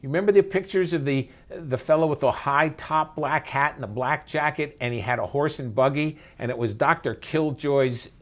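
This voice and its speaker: A man's voice: a little high, normal speed, few pauses. A man speaking as an expert interview guest, emphatic and conversational, with rhetorical questions and folksy asides. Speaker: physician and author, interview guest.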